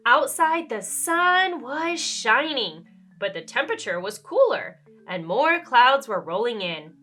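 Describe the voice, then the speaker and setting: A woman reads a storybook to young children with dramatic emphasis. Her voice is high and bright and she takes her time, over faint music. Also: sing-song, slow pace. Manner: dramatic emphasis, asks the listener questions. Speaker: children's read-aloud storyteller.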